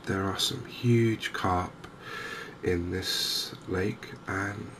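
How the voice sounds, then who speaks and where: A man softly narrating a game in ASMR style, hushed and hesitantly thinking aloud. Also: low-pitched, fairly even intonation, very slow. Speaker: gaming video host. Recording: noticeable background ambience noise. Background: game ambience.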